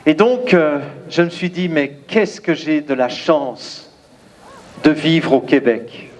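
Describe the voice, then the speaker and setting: Belgian man, high voice, emphatic declamatory rally speech, faint noise outdoors. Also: lively intonation. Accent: Belgian French. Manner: emphatic, declamatory, pausing often. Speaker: rally speaker. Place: outdoor crowd gathering.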